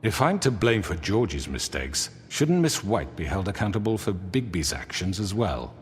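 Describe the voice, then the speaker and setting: An actor playing a smooth-talking crime boss in a video game, speaking with theatrical, mock-polite oratory. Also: male voice, sits low, very animated, normal speed. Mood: sly, needling. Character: smooth-talking male crime boss defending himself before townsfolk. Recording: echoey hall reverb.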